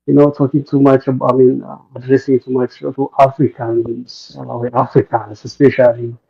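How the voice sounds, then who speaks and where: A man's voice: medium pitch, little pitch movement, normal speed, creaky, breathy edge. Hesitant Amharic-speaking man on a dull call. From Ethiopia. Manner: hesitant, many fillers and restarts. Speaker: caller. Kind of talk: casual live call-in chat.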